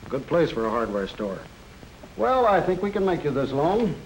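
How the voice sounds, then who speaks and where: Courteous 1940s banker, lively intonation. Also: male voice, a little high. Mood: businesslike, approving. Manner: formal, measured, businesslike. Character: courteous, methodical male small-town bank loan officer. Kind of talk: 1940s educational film acting. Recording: noisy 1940s film soundtrack.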